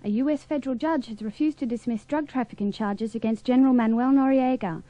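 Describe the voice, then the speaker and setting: A woman's voice: fairly high pitch, fast talker, dark tone, no echo. A woman reading a scripted news brief as a radio newsreader. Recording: dull lo-fi radio recording.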